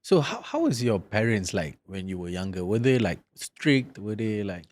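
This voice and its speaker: A man's voice: on the low side, big pitch swings, moderate pace, no room sound. A man hosting a podcast interview, chatty and friendly, filling his questions with "you know" and "like". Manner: friendly, casual, many fillers like "you know" and "like". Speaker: podcast host. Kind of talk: casual interview.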